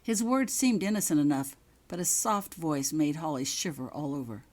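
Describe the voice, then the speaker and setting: Woman narrator, low voice, very lively intonation, expressive story reading. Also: normal speed. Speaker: author reading own story. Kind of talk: expressive audiobook-style narration.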